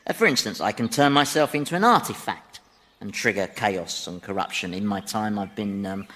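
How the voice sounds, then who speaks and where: A sly, mock-innocent Devil, animated. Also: male voice, fairly low pitch, moderate pace. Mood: gleefully amused. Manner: teasing, chuckling, mock-innocent delivery. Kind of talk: radio sitcom acting.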